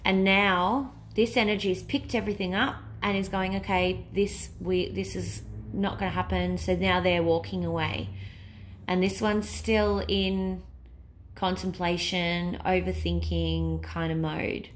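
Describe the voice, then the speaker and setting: A woman reading tarot cards in a casual way while losing her voice to a cold, thinking aloud with many pauses and fillers and now and then dropping to a whisper. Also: fairly low pitch, fairly even intonation, slight background noise. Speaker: tarot reader.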